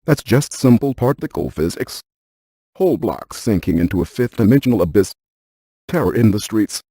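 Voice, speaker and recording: A man playing a brainy, stiff ghost-hunting scientist in a video game, speaking in a precise, clinical deadpan. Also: lowish voice, moderate pace, husky, no echo, studio quality. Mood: deadpan, analytical.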